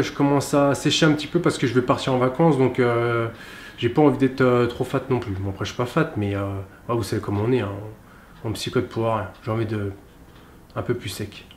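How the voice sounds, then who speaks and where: A man's voice: mid-range voice, slight background noise. Man, rambling in an echoey gym. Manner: relaxed, rambling, many filler pauses. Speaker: fitness vlogger. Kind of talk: casual workout vlog recap.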